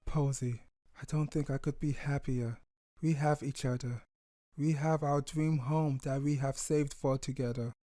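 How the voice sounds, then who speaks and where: A man's voice: mid-range voice, steady tone, unhurried, mellow, dry recording, natural voice. A man as an earnest young pony stallion father. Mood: excited, loving. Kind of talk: dramatic story reading.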